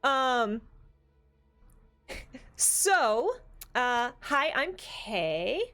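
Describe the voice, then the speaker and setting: A woman's voice: fairly high pitch, very animated, very slow, bright, forceful tone. A woman hosting a tabletop gaming stream in casual, chatty style, often breaking off and picking her thought back up. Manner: chatty, informal, self-interrupting. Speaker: host of a tabletop role-playing talk stream. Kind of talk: casual livestream chat.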